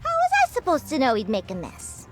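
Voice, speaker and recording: High, lively, brash older dame. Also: female voice, a little fast, over loud music. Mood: brazen, defensive. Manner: boastful, teasing, animated. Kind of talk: video game character acting.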